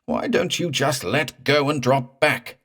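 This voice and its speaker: A man's voice: mid-range voice, a little slow, a bit bright, voice pushed up. A man voicing a terrified man in a boat whose voice goes raw and screeching with panic. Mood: frantic.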